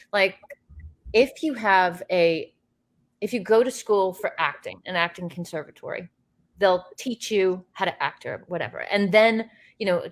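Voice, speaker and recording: Low-voiced woman telling rambling stories. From United States. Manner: rambling, animated storytelling with frequent fillers and restarts. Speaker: interview guest, actress and filmmaker. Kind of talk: casual conversational interview.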